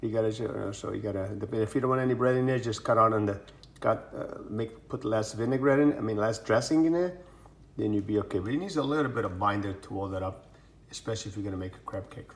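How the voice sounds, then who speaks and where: A man's voice: fairly low pitch, fast talker. A middle-aged man from Portugal, a chef giving a rambling home cooking demonstration full of fillers and restarts.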